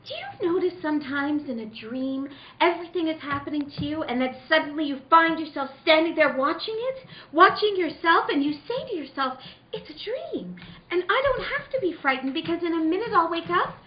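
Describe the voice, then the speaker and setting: A woman's voice: very high-pitched, animated, a little fast. A woman playing an anxious, earnest wife troubled by nightmares in a comic old-time radio drama read. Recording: roomy, muffled, noisy live room recording.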